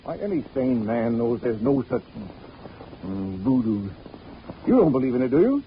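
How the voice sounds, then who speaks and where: An actor playing a proper, worried insurance executive, formal and earnest, in 1940s radio drama style. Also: male voice, medium pitch, very animated, moderate pace, lightly acted. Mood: troubled, insistent. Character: proper, worried American insurance executive, businesslike older man. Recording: muffled, noisy 1940s radio broadcast.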